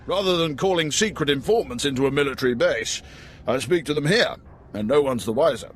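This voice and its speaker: An actor playing an older, seasoned station chief in a video game, unhurried and avuncular. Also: male voice, on the high side, expressive, slight background noise, natural voice. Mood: grave, measured. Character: seasoned older male Imperial intelligence station chief, genial, measured. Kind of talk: video game dialogue acting.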